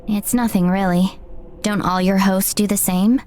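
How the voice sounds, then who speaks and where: A woman playing a modest, knowledgeable young local guide in a visual novel, speaking in a measured, understated way. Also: medium pitch, steady tone, darkish tone, a little hiss or hum, close to an everyday voice. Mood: modest, helpful. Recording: music behind the voice.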